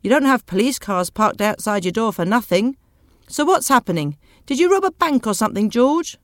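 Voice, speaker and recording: An inquisitive, easily impressed working man in an audio storybook, performed by a woman narrator. He exclaims and stresses his words, swinging widely in pitch. The sound is close and dry. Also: expressive, moderate pace, no room sound, lightly acted. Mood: nosy, surprised. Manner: emphatic, exclaiming. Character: nosy, astonished, admiring male factory friend.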